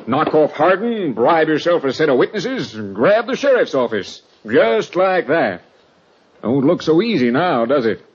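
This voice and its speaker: A man's voice: on the high side, big pitch swings, unhurried, muffled recording. An actor playing a nervous male outlaw henchman in a 1940s radio western, jittery and pleading. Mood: jeering. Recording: hissy old radio transcription.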